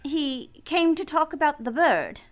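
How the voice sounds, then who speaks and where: Woman as high-voiced scheming femme fatale. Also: sing-song, measured pace. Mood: coy. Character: seductive, deceitful femme fatale, melodramatic. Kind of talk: old-time radio play acting.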